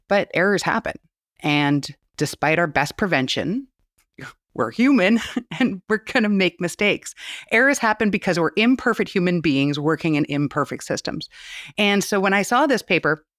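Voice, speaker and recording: A woman's voice: sits low, dry recording, studio quality. Earnest woman presenting a research paper. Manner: earnest, thoughtful, with frequent fillers. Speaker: podcast co-host presenting a paper. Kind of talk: podcast discussion.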